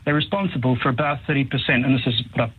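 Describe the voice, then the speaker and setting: A man's voice: medium pitch, very fast, slightly rough. A man with a South African accent, calling in to a radio show, speaking in a thoughtful, hesitant way as he searches for words. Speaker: caller. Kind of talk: phone-in conversation. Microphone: telephone. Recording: tinny phone line.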